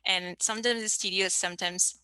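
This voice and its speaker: A woman scientist in her thirties from Peru, giving an online science talk in a halting way with many fillers and restarts. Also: mid-range voice, fairly even intonation, pushed and bright, smooth, no echo, low-quality recording. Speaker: scientist presenter.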